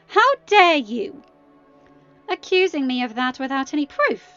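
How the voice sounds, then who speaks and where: A woman voicing a haughty, scornful older queen in a storybook reading, sharp and accusing. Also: high voice, sing-song, unhurried, muffled recording, soft background music, voice pushed up, exaggerated voice. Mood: outraged.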